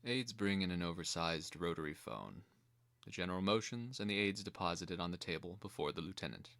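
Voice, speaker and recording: A man narrating a screenplay as a scripted dramatic reading, in a measured way. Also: low voice, animated, creaky, fairly bright tone, dry recording.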